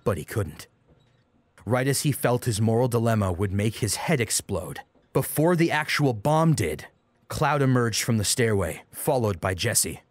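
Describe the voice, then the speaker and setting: A man's voice: mid-range voice, lively intonation, moderate pace, dry recording. A man reading a dramatic action story as an audiobook narrator. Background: music under the voice.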